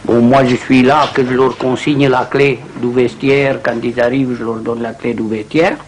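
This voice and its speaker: A man's voice: medium pitch, level tone, moderate pace, slight background noise, low-quality recording. A man born in Italy, a volunteer speaking plainly and unhurriedly in an interview. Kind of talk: casual interview.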